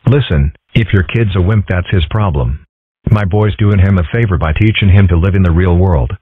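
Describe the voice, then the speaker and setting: A man's voice: deep voice, moderate pace, slightly rough, dry recording, phone-quality sound. A man voicing a brash, belligerent used-car salesman father, dismissive and blustering, in a cartoon comic dub. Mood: combative.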